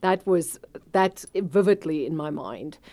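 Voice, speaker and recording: A woman in her forties with a South African accent and Afrikaans as her first language tells her own story, reflective and quick to laugh. Her voice sits low and mellow. The sound is close and dry. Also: lively intonation, no room sound. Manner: reflective, laughs easily, uses fillers. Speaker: business owner telling her story. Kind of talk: personal storytelling interview.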